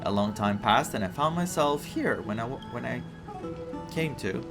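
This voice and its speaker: A man's voice: medium pitch, lively intonation, normal speed, lightly acted. Man reading as a forlorn little living flame. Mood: wistful. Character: small forlorn living flame pleading for fuel. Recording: game music behind the voice.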